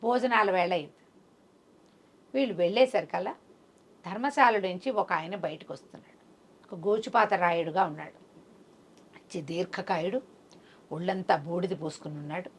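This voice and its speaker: A woman's voice: on the low side, normal speed, long pauses, slightly rough. A woman tells a folk tale conversationally to a listener, voicing the characters' dialogue as she goes. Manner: acts out characters' dialogue within the narration. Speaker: storyteller.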